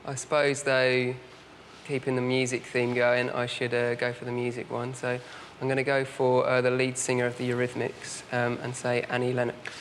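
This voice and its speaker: A man's voice: medium pitch, completely flat delivery, moderate pace, fairly bright tone, a little hiss or hum. A man on a quiz show, thinking aloud hesitantly as a contestant. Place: television studio.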